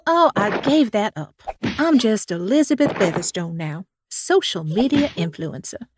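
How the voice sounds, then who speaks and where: A woman's voice: mid-range voice, big pitch swings, a little slow. An actress playing a breezy, teasing reformed female supervillain in a comedic audio drama. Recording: loud noise behind.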